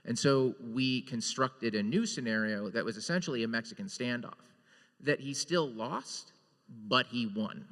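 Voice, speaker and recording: A man telling an anecdote on a panel as a TV writer, emphatic, in short deliberate phrases. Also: medium pitch, a bit bright. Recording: reverberant hall.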